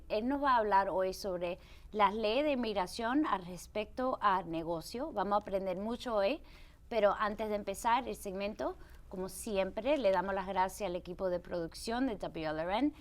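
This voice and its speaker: Woman hosting a Spanish-language TV interview. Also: mid-range voice. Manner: chatty, with restarts and switches into English words. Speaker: TV show host.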